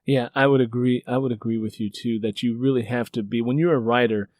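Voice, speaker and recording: A middle-aged man hosting an author interview, talking conversationally as a fellow novelist, rambling with frequent filler phrases and animated when storytelling. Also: mid-range voice, a little fast, dry recording, clean studio sound. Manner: animated storyteller who acts out remembered exchanges; rambling, repeats "you know".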